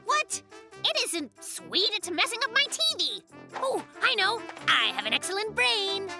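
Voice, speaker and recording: A woman voicing a cocky, excitable young boy polar bear in a children's cartoon, boastful and easily exasperated, with lots of exclamations. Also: very high voice, measured pace, vocal fry, full character voice. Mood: indignant, boastful. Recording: music bed behind the voice.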